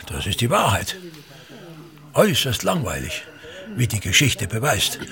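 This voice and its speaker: An actor playing a pompous, tyrannical ageing actor-manager, declaiming contemptuously and repetitively in a radio drama. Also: male voice, mid-range voice, creaky, a bit bright. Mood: disdainful, resigned. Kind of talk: theatrical German radio drama monologue.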